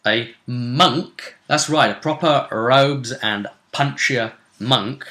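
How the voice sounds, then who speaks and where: A man co-hosting a casual recap of a tabletop role-playing game, telling it haltingly with dramatic emphasis. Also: mid-range voice, sharp tone.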